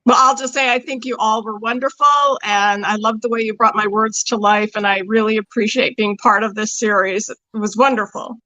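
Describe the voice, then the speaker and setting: A woman's voice: a little high, steady tone, moderate pace, few pauses, pushed and bright, no room sound, poor audio. A woman playwright chatting casually and appreciatively on a video call. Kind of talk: casual question-and-answer chat.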